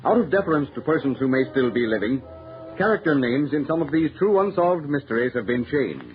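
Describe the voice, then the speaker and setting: A man's voice: medium pitch, expressive, a little fast. A man narrating a scripted old-time radio mystery in a formal, portentous manner. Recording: dull, band-limited old radio recording. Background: music.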